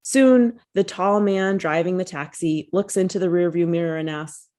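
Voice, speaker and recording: A woman author reading her own personal essay aloud, at a measured and reflective pace, over a video call. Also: lowish voice, warm tone. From United States.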